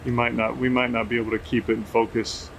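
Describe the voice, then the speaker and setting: A man's voice: mid-range voice, fast talker, gravelly. A man hosting a casual field test, talking off the cuff outdoors into a camera microphone. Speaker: drone tester and video host. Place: outdoors under an open shelter at an airfield. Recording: noisy outdoor camera audio, steady ambient hum.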